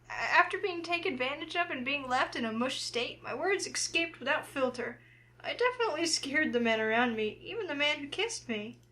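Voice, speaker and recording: A woman's voice: very high voice, full character voice. A woman playing a feisty, easily flustered young heroine in a visual novel, speaking expressively. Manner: expressive, indignant when provoked. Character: feisty, flustered young woman narrating her own romance.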